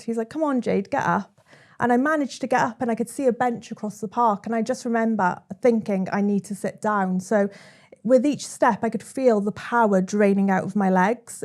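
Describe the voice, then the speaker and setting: A woman's voice: medium pitch, darkish tone. Chatty Welsh woman telling her story. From South Wales. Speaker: guest, content creator. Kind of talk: conversational storytelling.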